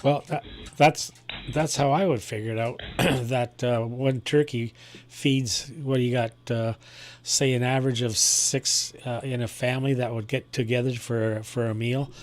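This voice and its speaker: Man with a medium-pitched chatty voice. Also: fairly even intonation, a little slow. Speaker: amateur radio net participant. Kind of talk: casual group chat on ham radio.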